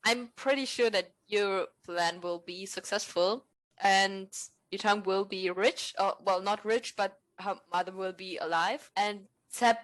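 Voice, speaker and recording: A woman's voice: medium pitch, a little slow, fairly bright tone, low-quality recording. A woman hosting a casual podcast, cheerful and upbeat as she introduces games. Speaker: podcast host.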